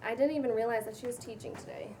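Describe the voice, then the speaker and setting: A woman's voice: on the high side, warm tone, natural voice. A woman acting a bubbly influencer in her thirties with an intense streak, gushing and slangy, in a cold script read. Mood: conspiratorial. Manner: gushing, drawn-out exclamations, casual slangy talk. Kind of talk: cold table read of a comedy pilot. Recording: distant room mic, faint background noise.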